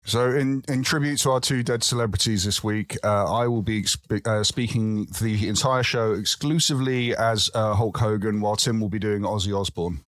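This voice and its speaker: An English man co-hosting a podcast, cracking deadpan teasing jokes in casual chat. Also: on the low side, normal speed, few pauses, no echo. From England. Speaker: podcast co-host.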